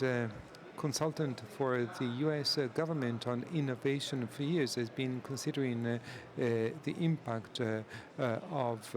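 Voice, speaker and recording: A man giving live interpretation at a conference, halting and full of filler sounds. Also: mid-range voice. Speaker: simultaneous interpreter.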